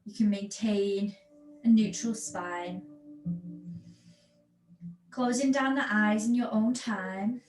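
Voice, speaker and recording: A woman yoga teacher giving guided relaxation instructions in a soothing, unhurried manner with long pauses between phrases. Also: mid-range voice, a little mellow, faint music behind. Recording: echoey room with background noise.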